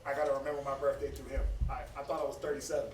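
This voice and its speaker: A man's voice: medium pitch, little pitch movement, very fast, a crowd in the background. A man in his thirties teaching scripture in a casual, preachy way, often stammering and repeating words. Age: 30s. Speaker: Bible study speaker. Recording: echoey room, distant mic, faint noise.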